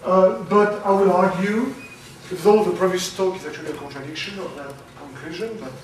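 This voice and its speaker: A man giving a conference talk, loud and halting, with many fillers. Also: sits high, a little hiss or hum. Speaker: conference speaker. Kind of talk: academic presentation. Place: lecture room. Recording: very echoey, from far off.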